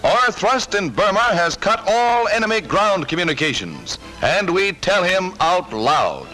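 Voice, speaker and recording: A man's voice: high voice, sing-song, a little slow, poor audio. A man narrating a 1940s wartime newsreel in a punchy, emphatic delivery. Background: loud orchestral music.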